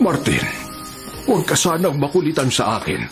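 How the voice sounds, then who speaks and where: An earnest elderly preacher, music behind. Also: male voice, on the high side, wildly swooping pitch, moderate pace. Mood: humble, earnest. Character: elderly pious male prisoner who preaches the Bible. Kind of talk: radio drama acting.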